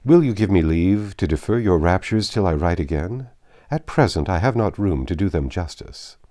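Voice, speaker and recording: A man voicing a proud, reserved young gentleman in an audiobook of a classic novel, speaking in a formal, measured, cutting way. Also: deep voice, lively intonation. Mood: cool, curt.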